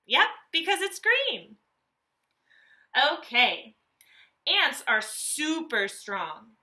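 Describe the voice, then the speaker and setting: A woman reads aloud to young children in a teacher's animated manner. Her voice is fairly high and strikingly bright. She takes her time, enunciating each point deliberately for small listeners. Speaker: elementary school teacher. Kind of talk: children's nonfiction read-aloud lesson.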